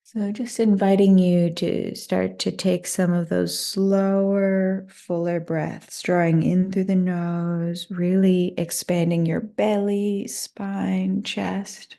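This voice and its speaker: A woman leading a guided meditation in a soothing, unhurried and gently inviting manner. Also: fairly low pitch, little pitch movement, vocal fry.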